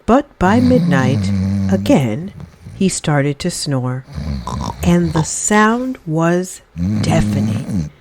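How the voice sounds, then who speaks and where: A woman reading a children's story aloud in expressive, dramatic narration with deliberate phrasing. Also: low-pitched, noisy background.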